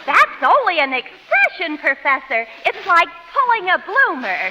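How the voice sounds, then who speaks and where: Very high-pitched pert American sidekick. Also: female voice, very animated, normal speed, lo-fi sound. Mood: pert, explaining. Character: chirpy young woman, a comedian's matter-of-fact sidekick. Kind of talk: 1930s radio comedy acting. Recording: very noisy crackly 1930s radio broadcast.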